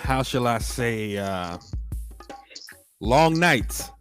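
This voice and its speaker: A man hosting an interview, speaking in a deliberate, wordy way with formal flourishes. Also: medium pitch, expressive, fairly bright tone. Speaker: podcast host, interviewer. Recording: music clearly heard behind voice. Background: music.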